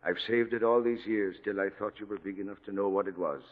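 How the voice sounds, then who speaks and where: An actor playing a gruff but tender old lighthouse keeper in a radio drama, speaking affectionately in folksy seafaring dialect. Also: male voice, on the low side, steady tone, fast talker, lightly acted. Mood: tender, wistful. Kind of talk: 1940s radio acting. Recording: dull vintage radio broadcast, long reverb.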